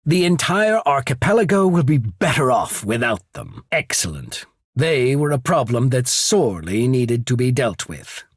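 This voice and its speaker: An actor voicing a courtly, shrewd diplomat of a trading company in a video game, speaking in a polite, measured, formal way. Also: male voice, mid-range voice, big pitch swings, slightly rough, dry recording. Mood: satisfied. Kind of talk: video game character acting.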